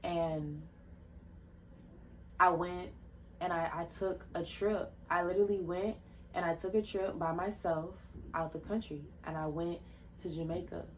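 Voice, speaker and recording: A young woman in her twenties hosts her own podcast from home, talking in a loose, chatty ramble that drifts from one tangent to the next. Her voice sits low for a woman. Faint fireworks pop somewhere behind her. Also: steady tone, a little slow, slight background noise. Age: 20s. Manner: rambling, jumps between tangents; loose, chatty, many fillers and restarts. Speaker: podcast host. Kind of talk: casual solo podcast talk. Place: at home, indoors. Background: faint fireworks popping.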